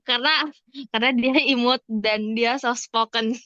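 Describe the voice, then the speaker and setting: A woman's voice: on the high side, slow pace, fairly bright tone, dry recording, dull audio. A woman chatting casually and informally as a podcast guest. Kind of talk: casual chat.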